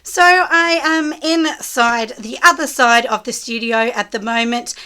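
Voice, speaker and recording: A woman who makes soap, chatting with viewers as she packs orders in her small studio, husky and hoarse from a cold. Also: a little high, a little slow, sharp tone. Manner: chatty, talks straight to the viewers. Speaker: soap maker running a small business. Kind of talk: casual chat while packing orders. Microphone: camera set low on a surface.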